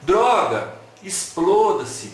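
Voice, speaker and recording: Lively man. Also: high-pitched, drawn-out words, slight background noise. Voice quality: animated, playful, asks the audience questions. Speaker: lecturer at an online talk. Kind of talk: lively lecture with jokes and rhetorical questions. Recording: very echoey room.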